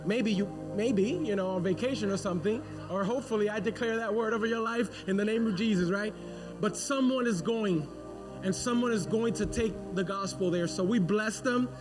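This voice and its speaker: A male pastor with a notably high voice urges his congregation on with energy. He speaks from the front of a church sanctuary, with music playing clearly behind him. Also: normal speed. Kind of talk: church service announcement.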